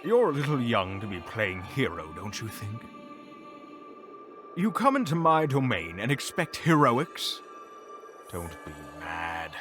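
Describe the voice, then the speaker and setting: Man as villainous mage, slow, swooping delivery, condescending, theatrical, music behind. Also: medium pitch, very animated. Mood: mocking. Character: haughty villainous mage in his tower. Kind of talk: fantasy audio drama.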